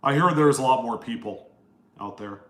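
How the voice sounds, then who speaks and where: A man with a nasal voice at a middle pitch, chatting loosely and warmly with his viewers. He rambles from one question to the next and greets people by name. The sound has an echoey, roomy feel. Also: normal speed. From East Coast US, Maryland. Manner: rambling and friendly, reading out viewer names. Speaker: live stream host answering chat questions. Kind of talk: casual live Q&A chat.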